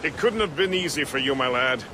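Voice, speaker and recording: A man's voice: medium pitch, lively intonation, fairly quick, lightly acted. An actor playing a fatherly older companion, hearty and reassuring, in a video game. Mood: approving, sympathetic. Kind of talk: video game cutscene acting. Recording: loud outdoor battle-like noise behind.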